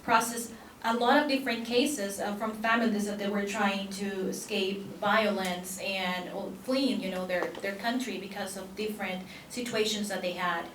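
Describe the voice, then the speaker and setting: A woman's voice: medium pitch, steady tone, moderate pace, fairly bright tone, a little hiss or hum. A woman from El Salvador with a Salvadoran Spanish accent speaks on a conference panel, earnest, with many fillers and restarts. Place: conference room. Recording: echoey conference room from a distance.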